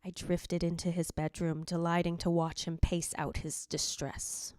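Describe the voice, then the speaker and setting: A woman's voice: fairly low pitch, little pitch movement, unhurried, a little mellow, dry recording, studio quality, close to an everyday voice. A woman playing the jealous ghost of a dead wife, narrating in a theatrical, literary, anguished way in old-time radio style. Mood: vengeful, brooding.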